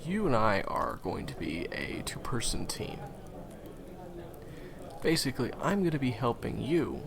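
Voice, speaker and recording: A man playing a friendly, reassuring guide and former hunter in a fantasy game audio roleplay, talking conversationally to the listener with hesitant pauses. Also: on the low side, vocal fry, exaggerated voice. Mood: casual, instructive.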